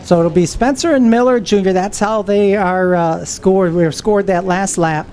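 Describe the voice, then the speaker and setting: A woman's voice: mid-range voice, normal speed. A woman giving live stock car race commentary as a trackside announcer. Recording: loud vehicle noise behind. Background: race cars and trackside noise.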